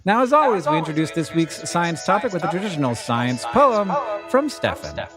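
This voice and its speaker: Animated, higher-pitched man hosting over music. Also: moderate pace. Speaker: podcast host. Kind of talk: scripted podcast introduction.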